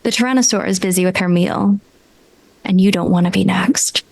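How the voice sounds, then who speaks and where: A woman running a tabletop game, narrating horror scenes in a deliberate, suspenseful, dramatic style. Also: mid-range voice, creaky, darkish tone. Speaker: game master.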